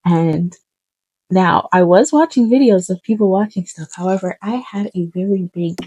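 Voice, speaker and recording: A woman's voice: fairly low pitch, moderate pace, mellow, no echo, low-quality recording. A woman, chatting casually in a vlog about her path into fashion design, with lots of fillers and self-corrections. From Uganda. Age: 20s. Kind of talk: casual vlog storytelling while doing makeup.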